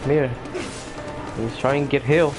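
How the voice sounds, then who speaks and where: A man with a mid-pitched voice commentates casually on his own gameplay. He talks in short, slangy reactions to the action, with game music clearly playing behind him. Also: steady tone, moderate pace. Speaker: gamer recording gameplay. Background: loud video game music.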